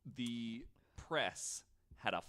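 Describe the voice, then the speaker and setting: A man hosting a podcast, telling a story to co-hosts in casual, comedic, animated chat with some swearing. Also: medium pitch, extremely slow, slight background noise.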